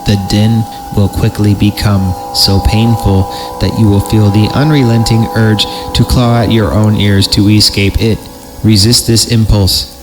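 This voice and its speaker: A man's voice: on the low side, level tone, measured pace, music in the background. A man hosting a horror story podcast, narrating theatrically and breaking off for joking asides when he stumbles. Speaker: podcast host reading horror stories.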